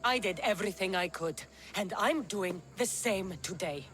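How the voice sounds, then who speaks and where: An actress playing a tough, defiant warrior in a video game, earnest and protective, with a direct, dramatic delivery. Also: female voice, mid-range voice, moderate pace, fairly bright tone, slight background noise, lightly acted. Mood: hurt, earnest. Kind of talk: video game cutscene acting.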